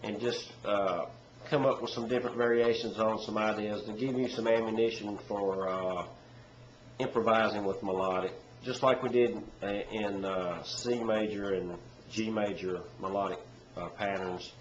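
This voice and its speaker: A man's voice: on the low side, slight background noise. Stuffy-nosed man teaching banjo. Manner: relaxed, rambling, with many "uh" pauses. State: stuffy nose from a summer cold. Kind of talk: casual instructional talk. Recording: echoey room with dull, lo-fi sound. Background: loud banjo playing.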